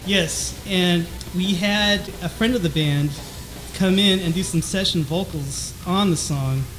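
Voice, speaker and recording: Co-host, male, light trebly voice, halting and self-mocking, music faint underneath. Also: sits high, a bit bright, low-quality recording. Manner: hesitant, many restarts. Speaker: podcast co-host, bass player. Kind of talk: casual chat.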